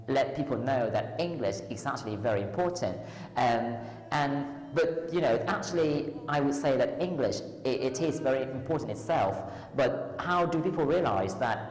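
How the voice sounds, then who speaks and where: Very low-voiced Thai woman in an echoey hall. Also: a little mellow. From Thailand. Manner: hesitant, many ums and restarts. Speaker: lecturer and tutor, runs a tutoring school. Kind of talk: interview answers. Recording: echoey hall with long reverb.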